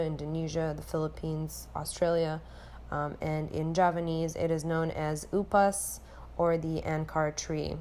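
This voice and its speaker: A young woman from Romania, an anthropology student, reading a class presentation in an earnest, measured way with frequent filler sounds. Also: on the low side, darkish tone, a little hiss or hum.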